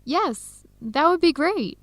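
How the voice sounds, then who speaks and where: A woman's voice: sits high, animated, takes it slowly, a bit bright, no room sound, heavily acted. An actress playing a friendly young woman researcher in an educational radio drama, speaking in simple, short replies. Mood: friendly, pleased.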